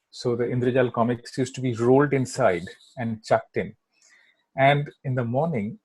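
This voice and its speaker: A man's voice: mid-range voice, darkish tone, breathy edge, no echo, low-quality recording. A middle-aged man from Kolkata, native Bangla speaker with an Indian English accent, talking as an interview guest in a rambling way full of fillers and "right?" tags. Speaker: guest filmmaker. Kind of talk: casual interview chat.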